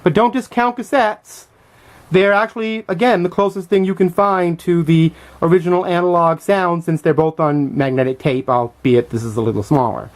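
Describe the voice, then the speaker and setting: A middle-aged man giving a casual, unscripted show-and-tell commentary as a collector, thinking aloud in a halting, hesitant way. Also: high-pitched, fairly even intonation. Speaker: vlogger and music collector showing finds.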